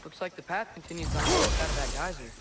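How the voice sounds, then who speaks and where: Youthful, high-voiced boy adventurer. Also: sing-song, rapid-fire, very noisy. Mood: musing. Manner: chatty, inquisitive, youthful. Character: curious, eager teenage boy adventurer. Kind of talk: video game acting.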